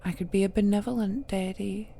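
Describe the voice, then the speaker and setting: A woman's voice: mid-range voice, steady tone, fairly quick, vocal fry, mellow, slight background noise, natural voice. A woman playing an ancient cursed deity, a possessive villainess who is tender yet menacing, speaking intimately and often dropping into whispers in an audio roleplay. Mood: musing, condescending.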